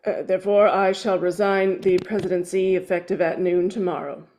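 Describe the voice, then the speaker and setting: A woman's voice: fairly low pitch, little pitch movement, moderate pace, a little mellow, a little hiss or hum, low-quality recording. A woman reading a screenplay aloud as narrator at a table read. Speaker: script reader and narrator.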